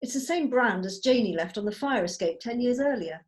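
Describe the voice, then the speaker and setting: A woman's voice: fairly high pitch, expressive, brisk. A woman reading stage directions as the narrator of a script, in a scripted narration style. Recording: video call.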